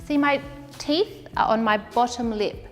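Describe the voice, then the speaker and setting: A woman in her thirties teaching a language class, speaking slowly and repeating words so learners can model the sounds. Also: on the high side, in a large hall, faint music behind. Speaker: ESL teacher. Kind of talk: classroom teaching, slow and clear. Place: large classroom with brick wall, indoors.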